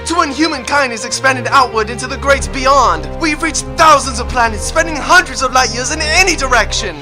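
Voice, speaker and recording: A performer playing a grand, theatrical announcer narrating in the style of an old-time radio serial. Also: sits high. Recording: music behind the voice.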